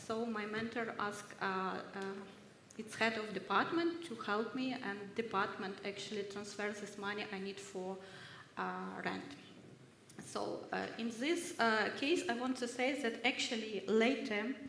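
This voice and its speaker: A woman from Ukraine, speaking haltingly on a workshop panel as a research fellow, with many hesitations. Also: medium pitch, steady tone, fairly bright tone, slight background noise. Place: university ceremonial hall. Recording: echoey hall with long reverb.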